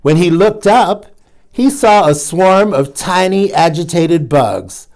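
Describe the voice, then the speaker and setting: A man's voice: sits high, lively intonation, slow pace, darkish tone. A man hosting a children's storytime, reading aloud and chatting to young listeners in asides. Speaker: storytime reader, drag queen host.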